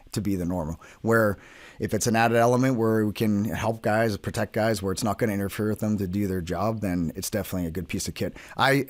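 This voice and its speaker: A man's voice: lowish voice, darkish tone, dry recording. A man hosting an interview in an informal, plain-spoken way. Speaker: podcast host, military veteran. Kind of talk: casual interview chat.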